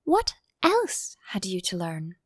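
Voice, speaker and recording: A woman's voice: medium pitch, wildly swooping pitch, takes it slowly, dry recording, clean studio sound, full character voice. A woman voicing a polite, curious, slightly indignant little girl in a storybook, prim and questioning. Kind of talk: audiobook character voice.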